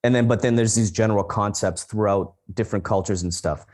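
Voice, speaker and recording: A man's voice: fairly low pitch, brisk, darkish tone. A man co-hosting a podcast in casual chat, speaking frankly and outspokenly. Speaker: podcast co-host.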